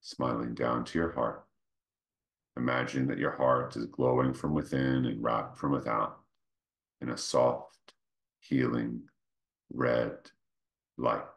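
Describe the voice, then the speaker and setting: A man leading a guided meditation, speaking gently and without hurry, in short phrases with pauses between them. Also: level tone. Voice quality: very deep, creaky. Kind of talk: guided meditation, slow soothing instruction.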